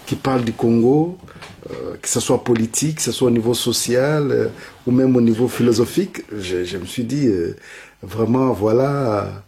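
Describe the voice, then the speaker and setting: A man interviewing a guest, speaking hesitantly and haltingly with many fillers. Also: mid-range voice, gravelly, warm tone. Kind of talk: casual interview.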